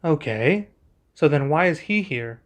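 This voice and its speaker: Man playing a composed, higher-voiced young king. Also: animated, a little slow. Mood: businesslike. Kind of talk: ASMR roleplay acting.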